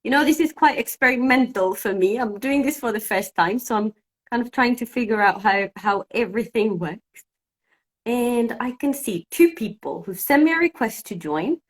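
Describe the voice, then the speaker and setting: A woman's voice: on the high side, animated, moderate pace. A woman from Cyprus whose first language is Greek, an English teacher hosting a casual live-stream chat and thinking aloud as she sorts out technical trouble. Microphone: phone.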